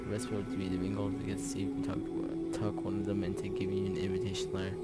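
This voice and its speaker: A man doing casual gameplay commentary, reading dialogue aloud and reacting in an informal, halting way. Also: low-pitched, monotone, over loud music. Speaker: gameplay streamer.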